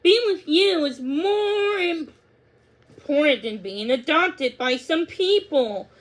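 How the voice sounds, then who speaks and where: Storybook casting: caring female dog, read by a woman, high-pitched, gentle, earnest, quick to understand her friend. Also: lively intonation. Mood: reassuring.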